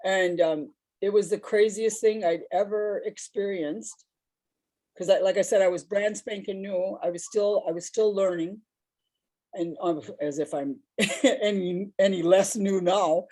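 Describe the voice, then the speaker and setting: A woman's voice: mid-range voice, fairly even intonation, measured pace, mellow, poor audio. A woman with a big voice, telling personal stories on a discussion panel in a reflective, rambling way.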